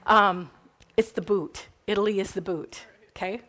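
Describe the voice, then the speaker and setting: A woman's voice: medium pitch, steady tone, moderate pace, pushed and bright. A woman with a Minnesota accent teaching church history to a class in a chatty, informal way, full of fillers and asides. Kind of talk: classroom lecture. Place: classroom with a live group.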